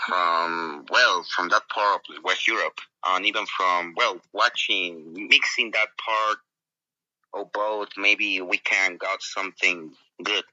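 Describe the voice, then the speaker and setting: A man eagerly tossing out film ideas in a casual chat, talking in quick bursts. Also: low-pitched, steady tone, sharp tone, dry recording. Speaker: co-host planning a film. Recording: dull, dry lo-fi recording.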